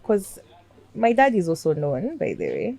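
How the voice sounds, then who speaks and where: A young woman with a Kenyan accent tells her story in a relaxed interview. Her voice sits a little low and is animated, and she drops in Swahili phrases and laughs easily. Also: a little slow, creaky. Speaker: chef guest. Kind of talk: casual interview chat.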